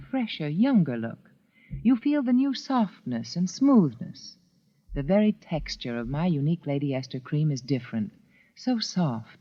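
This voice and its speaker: Female spokesperson for 1940s radio ad: animated, deliberate, emphatic, dull vintage recording. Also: sits low. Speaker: advertising spokeswoman. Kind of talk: 1940s radio commercial, scripted pitch. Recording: old muffled radio recording.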